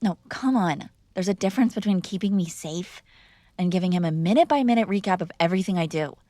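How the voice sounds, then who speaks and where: A woman's voice: lowish voice, lively intonation, fast talker, mellow, no room sound, close to an everyday voice. A woman playing a mob boss's spoiled, defiant daughter in an intimate ASMR roleplay, teasing and sarcastic in a conversational way, close to the microphone. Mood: indignant, challenging. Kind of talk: ASMR girlfriend roleplay, intimate one-sided dialogue.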